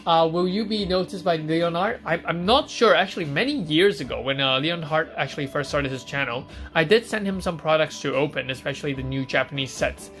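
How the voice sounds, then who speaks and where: Male stream host from Hong Kong, somewhat high voice, casual chat answering viewer questions, faint music behind. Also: fairly quick, few pauses, a bit bright. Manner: chatty, reads out viewer questions and answers them on the spot.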